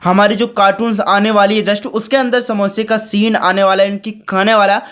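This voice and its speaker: A man's voice: high-pitched, flat delivery, moderate pace, a bit bright, clean tone. Man hosting a chummy tutorial. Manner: chummy, addresses viewers as friends and brothers. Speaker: tutorial channel host. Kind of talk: screen-recorded tutorial commentary.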